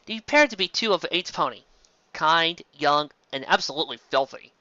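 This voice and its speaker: Bright-voiced man narrating a story. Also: on the high side, animated, moderate pace, no room sound, lo-fi sound. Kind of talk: amateur fan fiction reading, scripted narration.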